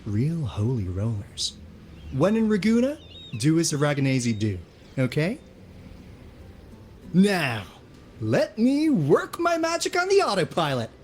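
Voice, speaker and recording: An actor playing a flamboyant, roguish, boastful fixer in a video game, with a showy, breezy, playful delivery. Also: male voice, fairly high pitch, big pitch swings, measured pace, long pauses, a little mellow, a little hiss or hum. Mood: breezy, upbeat. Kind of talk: scripted game dialogue.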